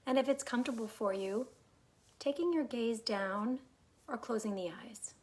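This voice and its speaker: Calm woman guiding a meditation. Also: fairly high pitch. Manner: calm, unhurried, soothing, soft phrases trailing into breathiness. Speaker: guided meditation teacher. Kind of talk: guided meditation, gentle instruction.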